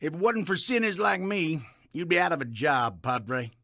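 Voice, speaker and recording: An actor playing a brash, mocking Western saloon owner in a video game, taunting and sardonic. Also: male voice, on the high side, big pitch swings, normal speed, bright, forceful tone, dry recording, clean studio sound, full character voice. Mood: mocking, defiant. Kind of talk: dramatic video game acting.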